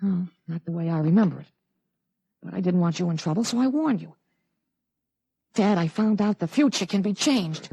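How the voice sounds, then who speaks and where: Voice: mid-range voice, normal speed, pauses often, mellow, husky, no room sound. A performer in a 1950s radio drama playing a confident, urgent teenage boy who talks like a grown soldier. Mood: grave, insistent. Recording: dry vintage 1950s radio recording.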